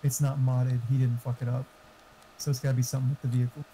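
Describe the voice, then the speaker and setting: A man with a very dark, soft-edged voice at a medium pitch chats on a gaming call. He explains technical details casually and swears, over faint background noise. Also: flat delivery, fast, rough recording. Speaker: game modder on voice chat.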